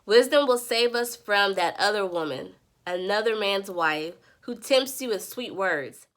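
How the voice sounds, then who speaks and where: A woman's voice: medium pitch, lively intonation, measured pace, a bit bright. A woman who sounds congested from a sinus infection, earnestly summarizing scripture in a devotional vlog. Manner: earnest, preacherly teaching. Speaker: Bible study vlogger.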